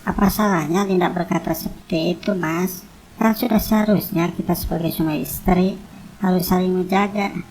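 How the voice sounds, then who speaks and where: A woman's voice: lowish voice, fairly even intonation, normal speed, a little mellow, natural voice, poor audio. A woman playing a loyal, bold young wife in a cartoon drama, with some noise behind her. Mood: tender, reassuring.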